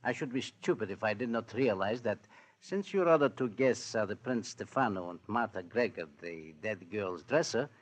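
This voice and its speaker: Formal, shrewd police minister. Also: male voice, on the low side, animated, moderate pace. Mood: stern, knowing. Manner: formal, official. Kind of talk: 1940s radio drama acting. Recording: vintage radio show recording.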